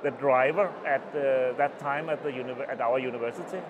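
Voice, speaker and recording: Danish man with a Danish accent, halting. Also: medium pitch, muffled recording, background noise. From Denmark. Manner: halting, many restarts and fillers. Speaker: interviewee, mycologist. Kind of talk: oral history interview. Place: small booth at a conference, indoors. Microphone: lapel mic.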